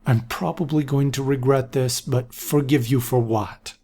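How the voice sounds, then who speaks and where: A man's voice: medium pitch, steady tone, a little slow, dark tone, husky. A man voicing an irritable young man who speaks curtly and sarcastically. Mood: impatient. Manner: curt and sarcastic.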